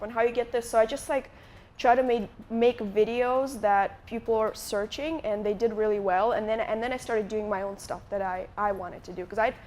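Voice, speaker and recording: A woman's voice: mid-range voice, darkish tone. Casting note: young woman from Moldova, rambling and chatty, speaking live on a conference stage with faint noise behind her. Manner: chatty, many likes and restarts. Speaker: guest YouTuber. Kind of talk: casual onstage interview.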